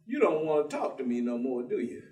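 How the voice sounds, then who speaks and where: A man's voice: a little high, sing-song, a little fast, mellow, slight background noise. An actor playing an earnest, persistent, folksy working man in a staged dramatic reading, speaking colloquially. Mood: searching. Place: theatre stage. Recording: echoey theatre, distant microphone.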